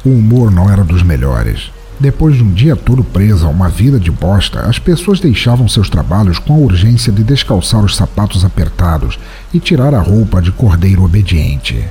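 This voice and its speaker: A man plays a brooding, solitary writer of the night in an audio drama. His voice is low and mellow, with lively movement in pitch. He narrates his wanderings in a measured, introspective, literary manner. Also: soft background music.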